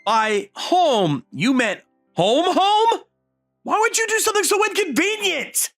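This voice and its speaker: A man's voice: very high-pitched, sing-song, measured pace, a bit bright, roomy sound, in a large hall, soft background music, heavily acted. A man voicing an easily flustered, incredulous teenage schoolboy in a romantic comedy comic dub. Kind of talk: anime-style comic dub, dialogue and inner monologue.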